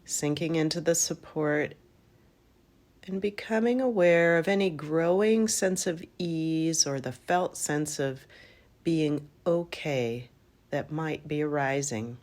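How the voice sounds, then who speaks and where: Female meditation guide: low register, very slow delivery, calm and gentle, roomy pauses between phrases. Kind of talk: guided yoga nidra meditation.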